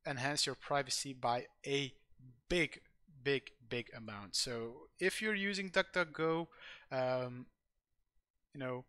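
A man's voice: mid-range voice, slow, bright, forceful tone, clean tone, no echo, clean studio sound. Dutch-accented man explaining over a screen recording. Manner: loose and rambling, many uh fillers and restarts. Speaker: tech channel host.

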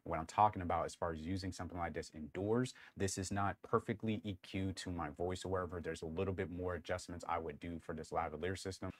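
A young man with a deep voice giving a casual, rambling tech review on a lapel mic in a small room, with lots of fillers. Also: fairly even intonation, quick pace, few pauses, no room sound. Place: small room lit with colored lights.

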